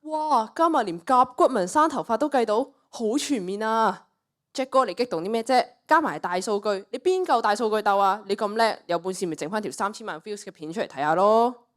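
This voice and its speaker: A woman's voice: mid-range voice, lively intonation, fairly quick, close to an everyday voice. A teenage girl with a Cantonese accent playing a brash, flippant young woman in a radio play, scoffing and cocky. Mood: smug. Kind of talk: student radio play acting.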